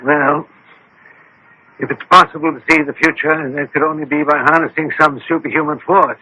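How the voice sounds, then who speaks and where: An actor playing a scholarly, unflappable detective, skeptical and wry, with formal, measured delivery in radio drama style. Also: male voice, on the high side, fairly even intonation, bright, forceful tone, slightly rough, dull audio, full character voice. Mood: skeptical, thoughtful. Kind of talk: 1980s radio drama acting. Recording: old radio drama broadcast.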